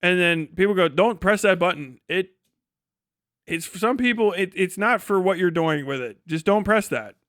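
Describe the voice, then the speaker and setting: A man's voice: high voice, lively intonation, moderate pace, a bit bright, smooth, dry recording, studio quality. A man hosting a livestream, giving casual, sarcastic and mocking reaction commentary full of swearing. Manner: sarcastic, mocking, laughs often. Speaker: livestream host.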